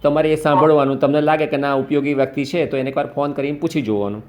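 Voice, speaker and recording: A man's voice: on the high side, fairly even intonation, quick pace, darkish tone. A man on a phone call patiently giving a caller step-by-step advice. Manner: patient, instructive, explains step by step. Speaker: host advising a caller.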